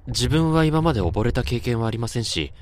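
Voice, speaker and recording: A man's voice: mid-range voice, animated, a little fast, darkish tone, close to an everyday voice. A man acting in a radio drama as a sleepless, uneasy young office worker haunted by nightmares, narrating and speaking politely to colleagues. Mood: haunted, reflective.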